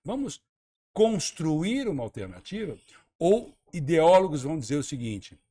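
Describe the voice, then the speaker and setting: A man from southern Brazil makes his case as a radio guest, pressing his points with emphasis. His voice is slightly husky, and his pitch rises and falls freely. He sometimes repeats words as he gathers his thoughts. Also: sing-song, measured pace, warm tone. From Santa Catarina, Brazil. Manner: emphatic, argumentative, repeats words while thinking. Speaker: interview guest, former tourism minister. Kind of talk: radio talk-show interview.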